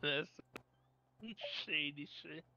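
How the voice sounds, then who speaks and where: A man's voice: fairly high pitch, little pitch movement, very slow, highs cut off. A man talking casually in online roleplay over a game voice chat. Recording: noisy game voice chat with ambience.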